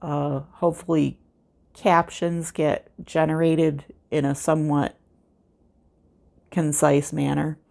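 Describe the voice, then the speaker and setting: An American woman chatting casually in an unscripted vlog, with a calming voice and a rambling, unhurried way of talking. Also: deep voice, vocal fry. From United States. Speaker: craft vlogger, host. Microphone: external microphone with a cell phone.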